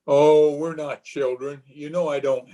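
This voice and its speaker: A man's voice: on the high side, steady tone, measured pace, mellow, soft background music, close to an everyday voice. A man playing a bitter, weak-willed philandering husband in an old-time radio melodrama, agitated and theatrical. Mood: pleading, infatuated. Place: remote recording over Zoom.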